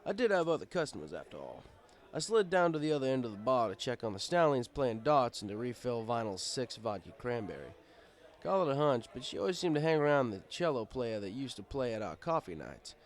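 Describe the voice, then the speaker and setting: A man's voice: medium pitch, sing-song, fairly quick. A man reading a first-person story as scripted narration, in a wry, conversational manner. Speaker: story reader.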